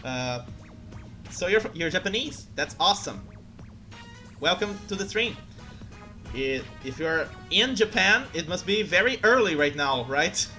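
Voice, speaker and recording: A male streamer from Brazil, speaking English, chatting excitably and getting flustered. His voice is bright and forceful, fairly high. Faint game music sits behind him. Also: measured pace, long pauses. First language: Portuguese. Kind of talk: live game commentary and chat.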